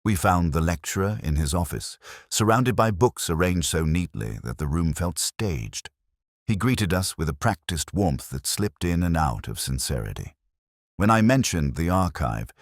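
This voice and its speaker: A man narrating a detective mystery drama in the first person, in a measured, literary, brooding manner. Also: rumbling low, no echo, clean studio sound.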